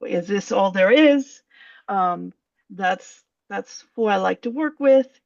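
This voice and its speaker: A woman coach from Puerto Rico who speaks slowly and gently, with a gravelly edge to her voice. She sounds thoughtful and unhurried. The recording is dry and slightly dull. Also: mid-range voice, expressive, dry recording. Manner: slow, gentle, thoughtful with fillers. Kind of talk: casual chat.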